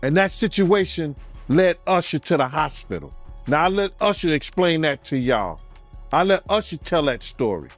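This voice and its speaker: A man giving emphatic, casual commentary in a halting, deliberate manner. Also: fairly high pitch, animated. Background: music.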